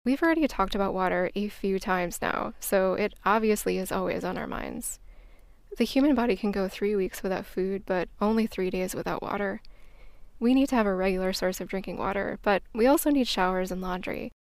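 A woman's voice: medium pitch, a little fast, no room sound, clean studio sound. An American woman hosting a podcast, reading a scripted travel story as narration. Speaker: podcast host.

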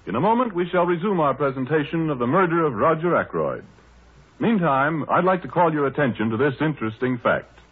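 A man's voice: on the high side, very animated, brisk, husky, phone-quality sound. A male radio announcer with a formal, polished sales delivery, in 1930s broadcast style.

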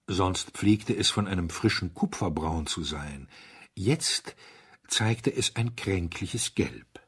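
A man's voice: low voice, slow, a bit bright, slightly rough. A man narrating a story as an audiobook reader, in a dramatic scripted style.

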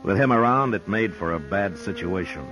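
A man playing a steady, weary frontier marshal in a 1950s radio drama, calm and dry. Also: sits low, moderate pace. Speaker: narrator and marshal. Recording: 1950s radio broadcast with music.

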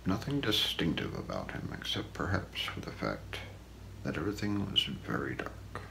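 A middle-aged man reading an ASMR true-crime story in a hushed, deliberate way, often slipping into a near-whisper. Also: low voice, big pitch swings, vocal fry, poor audio. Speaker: ASMR role-play narrator. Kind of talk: ASMR true-crime narration read from notes. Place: small room indoors. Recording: faint background noise.

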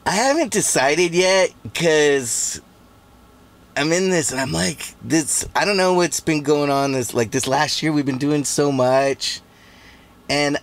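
A man's voice: medium pitch, smooth. Forty-something guy on a podcast mic, rambly and chill, lots of "like". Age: 40s. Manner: laid-back, rambling storyteller with lots of fillers. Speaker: podcast guest. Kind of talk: casual chat. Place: podcast studio. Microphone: studio mic on boom.

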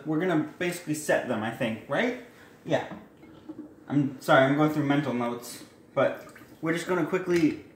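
A young man with a slightly high voice talks his way through a home cooking demo, rambling and correcting himself. He's filmed on a phone in an echoey kitchen, with faint clatter of dishes behind him. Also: a little hiss or hum. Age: young adult. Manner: rambling, self-correcting, chatty asides. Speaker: cooking vlogger. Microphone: handheld phone camera.

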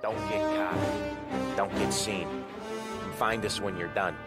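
An actor playing a steady, guarded mobster who takes charge, terse and commanding, in a cinematic crime game. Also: male voice, sits low, slow. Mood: businesslike. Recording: loud music behind.

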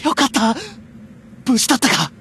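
An actor playing a stern, protective young knight in an anime game, earnest and commanding. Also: male voice, extremely high pitch, animated, moderate pace, vocal fry, a little hiss or hum, exaggerated voice. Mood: urgent. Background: loud background music.

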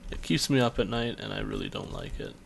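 A man's voice: fairly low pitch, a little fast, gravelly, a little hiss or hum. A young man casually commentating on a game from his bedroom, offhand and self-deprecating. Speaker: let's play commentator. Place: bedroom at home.

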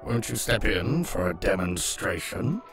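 A man's voice: fairly low pitch, big pitch swings, measured pace, exaggerated voice. A man voicing a suave, sinister robot villain in a sci-fi cartoon, theatrical, drawling and mock-polite. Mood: silky menace. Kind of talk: animated sci-fi villain acting. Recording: loud music over the voice.

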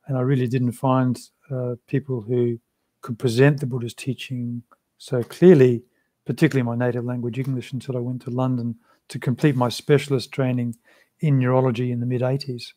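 Calm, measured older man. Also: mid-range voice, no room sound. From Australia. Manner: measured, thoughtful, unhurried. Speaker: interview guest, Buddhist practitioner. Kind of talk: reflective interview answers. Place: small room with a shrine, indoors. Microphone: video call.

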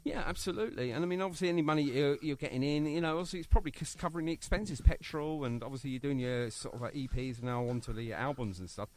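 A man's voice: a little high, fast talker, few pauses, darkish tone. A man hosting a radio show with a British accent, chatting casually and jokily with a guest, rambling with lots of fillers. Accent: British English. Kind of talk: casual radio interview chat.

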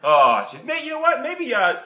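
Guy putting on a loud sports nut, middle-aged, rambles and sighs oh jeez, high bright voice, echoey room. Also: huge pitch swings, normal speed, heavily acted. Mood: gushing fan. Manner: gushing, rambling, sighing "oh jeez" asides. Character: brash middle-aged male Pittsburgh football fan. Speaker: sketch interviewer. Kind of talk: comedy sketch acting. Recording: roomy echoey space.

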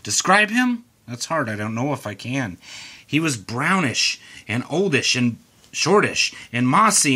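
Animated man reading a rhyming storybook. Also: medium pitch, husky. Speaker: storybook reader. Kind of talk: expressive read-aloud of a children's rhyming storybook.

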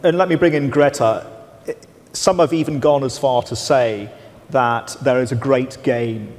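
A man's voice: mid-range voice, darkish tone. A man in his forties moderating a conference panel, posing questions in a formal manner. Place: large conference hall stage. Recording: reverberant conference hall.

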